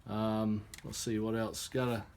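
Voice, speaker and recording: A man giving a casual, unscripted hands-on product demonstration, explaining step by step in a halting way. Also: on the low side, flat delivery, darkish tone. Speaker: product reviewer. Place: indoor workshop. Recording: clear background noise, room ambience.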